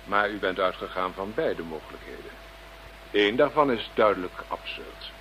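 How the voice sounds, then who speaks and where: An actor playing an arrogant, pedantic academic in a radio play, pompous and lecturing in a precise manner. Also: male voice, sits low, lively intonation, normal speed, fairly bright tone, lo-fi sound. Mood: condescending. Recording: radio play with faint hum.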